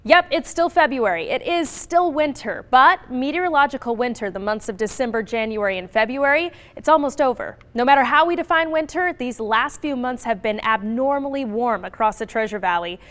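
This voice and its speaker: High-voiced, chatty woman weathercaster. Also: brisk, hardly any pauses, a bit bright. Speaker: TV meteorologist. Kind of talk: weather report.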